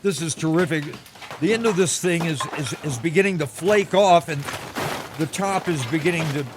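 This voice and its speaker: A higher-pitched actor playing a frightened male reporter in an old-time radio play. He stammers and breaks off as he describes what he sees, sounding breathless and shaken. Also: moderate pace, background noise, close to an everyday voice. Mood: tense excitement.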